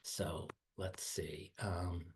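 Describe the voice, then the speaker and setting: A man's voice: low-pitched, level tone, drawn-out words, a little hiss or hum. Earnest older man on a headset. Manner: earnest, many fillers and repeats. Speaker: guest speaker. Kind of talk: webinar presentation. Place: indoor room, video call.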